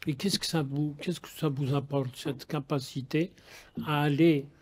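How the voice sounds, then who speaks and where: A man's voice: a little high, fairly even intonation, measured pace, husky. A man hosting a radio interview, asking long, rambling questions with many halts and restarts. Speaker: radio host.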